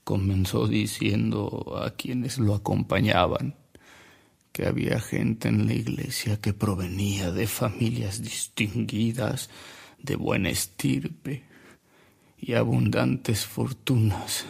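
An actor playing a frail, remorseful old man who narrates his past in a radio drama, slowly and reflectively, with a husky, gravelly voice. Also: lowish voice, fairly even intonation, darkish tone, full character voice. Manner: slow, reflective, with coughs and pauses.

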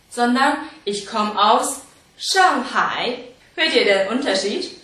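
A woman from China, a language teacher giving an online lesson in a slow, clearly enunciated, teacherly way. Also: fairly high pitch, sharp tone. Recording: echoey room, distant mic.

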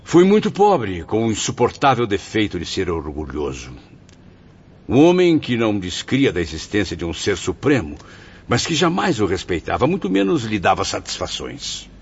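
An actor with a Brazilian accent playing a proud, embittered blind writer's spirit in a radio soap, declaiming theatrically. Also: male voice, on the low side, wildly swooping pitch, normal speed, breathy edge, full character voice. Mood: bitter, remorseful. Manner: theatrical, declamatory, grandiose, with swelling and sinking emphasis. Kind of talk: radio soap opera acting. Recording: dull lo-fi audio, music behind.

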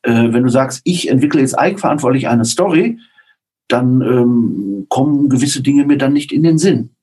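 A man with a very husky, rough voice speaks as a guest in an interview. His pitch is average and his style is casual and conversational. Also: expressive, moderate pace, dark tone.

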